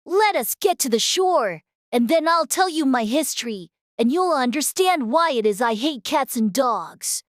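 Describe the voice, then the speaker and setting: A woman voicing a touchy, indignant little male mouse with a shrill, passionate delivery in a dramatized audiobook. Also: animated, measured pace, hardly any pauses, dry recording, clean studio sound. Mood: trembling, wounded. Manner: passionate, easily offended. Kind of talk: dramatized audiobook character dialogue.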